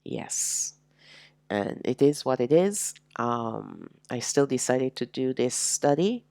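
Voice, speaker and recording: A woman teaching a slow, reflective sermon, sounding nasal and congested from allergies, with drawn-out, emphatic phrasing. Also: a bass voice, very animated, creaky, a little mellow, no room sound. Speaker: religious teacher.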